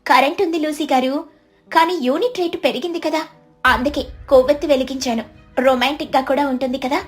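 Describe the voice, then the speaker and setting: Woman as a meek, high-voiced young wife sparrow. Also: fairly even intonation, fairly quick, soft background music, natural voice. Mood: meek explaining.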